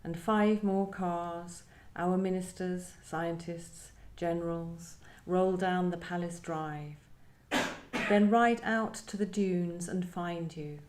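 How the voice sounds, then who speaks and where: A woman poet with a soft, dark-toned voice speaks in a literary setting. She is reflective and feels for her words, and the room rings noticeably around her. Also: lowish voice, fairly even intonation, takes it slowly, slight background noise. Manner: hesitant, searching, thoughtful phrasing. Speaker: poet discussing own work. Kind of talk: reflective literary conversation with poetry reading. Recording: roomy live event sound.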